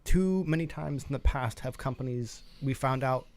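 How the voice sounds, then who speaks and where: A man's voice: mid-range voice, moderate pace, a little mellow. A man co-hosting a tabletop gaming show, chatting casually with wry, blunt reactions. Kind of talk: casual chat.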